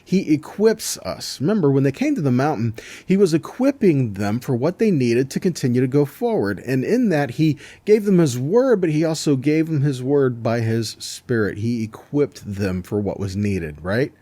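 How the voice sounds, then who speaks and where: A man's voice: medium pitch, big pitch swings, moderate pace, few pauses, warm tone, no room sound. A man giving an informal Bible teaching, conversational but emphatic, reading verses and checking in with rhetorical questions.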